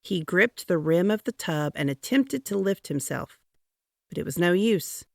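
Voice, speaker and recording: A woman narrating a children's picture book aloud in a dramatic, deliberate, expressive way. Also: on the low side, creaky, no echo, clean studio sound. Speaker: storybook reader.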